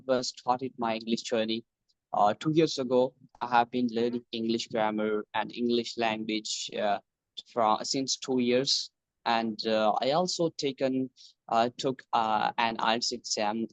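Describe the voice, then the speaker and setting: A young man from Pakistan speaks in careful, halting English, often stopping to restart a phrase. His voice is mid-pitched and sounds dry and close, with little room around it. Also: dry recording, rough recording. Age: young adult. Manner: hesitant, many restarts and fillers. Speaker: computer science student. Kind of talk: casual practice conversation in English.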